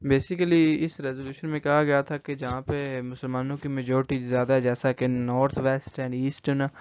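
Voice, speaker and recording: A young man with a Pakistani accent presents like a student giving a prepared talk. His delivery is even and monotone, halting over words and repeating them. The voice is smooth and clear, though the recording is dry and somewhat muffled. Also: mid-range voice, no echo. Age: young adult. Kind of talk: student presentation, semi-scripted lecture.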